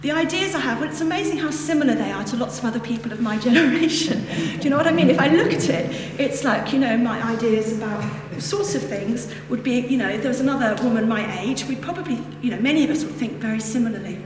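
A woman's voice: a little high, fast, hardly any pauses, a crowd in the background. Woman with a northern English accent, echoey hall. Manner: reflective and meandering, loose conversational asides. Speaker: Buddhist teacher. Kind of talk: dharma talk to a group. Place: hall with a lectern. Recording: echoey hall, distant mic, background noise.

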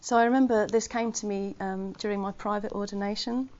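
A woman's voice: medium pitch, fairly even intonation, a little fast, darkish tone. A woman giving an informal Dharma talk to her Buddhist community. She speaks earnestly and conversationally, with self-deprecating humour. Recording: slightly muffled recording.

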